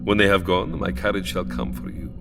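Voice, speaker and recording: An actor playing a sinister, courtly old vampire count with grave, theatrical politeness in an audio drama. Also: male voice, deep voice, a little fast, creaky, over music. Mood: grave, formal.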